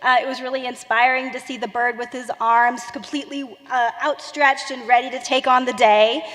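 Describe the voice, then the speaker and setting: A woman with a high-pitched voice presents an arts award at a ceremony. She speaks warmly and a little hesitantly, using small fillers. Her voice carries a long reverb from a large hall. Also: fairly even intonation, a little fast. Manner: warm, hesitant with filler words. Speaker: arts organization deputy director.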